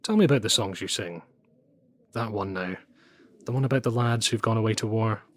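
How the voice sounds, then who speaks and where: A man playing a Nazi official in an audio drama, formal and insinuating, with quiet menace under his courtesy. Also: fairly low pitch, fairly quick, natural voice. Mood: flattering, insinuating.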